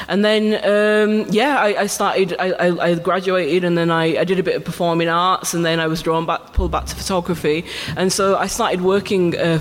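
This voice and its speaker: High-voiced man, lively storyteller. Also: slight background noise. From England. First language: Urdu. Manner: animated, laughing storyteller. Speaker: photographer guest. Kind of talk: live stage conversation. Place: arts venue stage before a live audience. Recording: live hall reverb with faint ambience.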